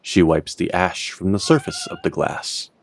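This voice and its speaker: Very deep-voiced male fantasy storyteller. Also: huge pitch swings, moderate pace, background noise. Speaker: storyteller, narrator. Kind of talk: scripted fantasy story narration.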